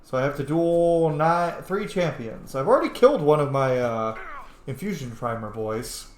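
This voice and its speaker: A man doing casual gameplay commentary in an excitable, conversational, sweary way. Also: a little high, moderate pace, roomy sound. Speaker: gaming video host.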